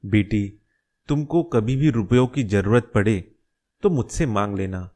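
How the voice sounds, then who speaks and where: A man voicing a caring, concerned middle-aged father in a cartoon story, giving gentle advice. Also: lowish voice, lively intonation, a little slow. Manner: gentle, advising. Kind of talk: Hindi cartoon story dialogue.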